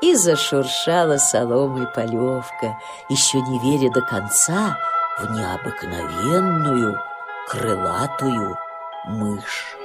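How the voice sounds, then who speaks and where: A woman's voice: rumbling low, animated, slow pace. A woman narrating a children's story expressively, as a storyteller speaking to the listeners. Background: music.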